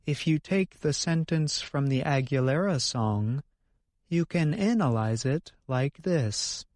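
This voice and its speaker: A man's voice: fairly high pitch, expressive, slow pace, a little mellow, dry recording, studio quality. A man co-hosting an educational podcast, reading scripted explanations in a careful, explanatory manner. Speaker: co-host of an English lesson podcast.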